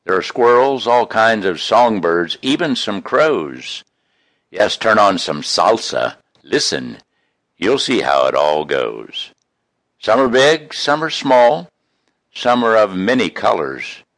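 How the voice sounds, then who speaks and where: A man reading his own poems in an expressive, folksy, homespun way, with casual introductions between them. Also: fairly low pitch, slow, no echo, studio quality. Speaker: poet reading own work.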